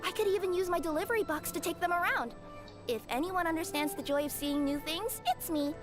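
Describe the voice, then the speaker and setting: A bubbly young cat girl chats in a very high, cute voice. She is perky and friendly, an anime game courier with music playing clearly behind her. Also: brisk. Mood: delighted. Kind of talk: scripted anime-style video game dialogue.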